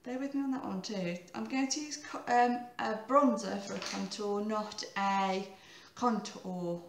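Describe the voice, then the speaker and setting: A woman in her thirties with a croaky voice, giving a chatty, rambling makeup tutorial and often correcting herself. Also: mid-range voice, unhurried, echoey room, slight background noise. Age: 30s.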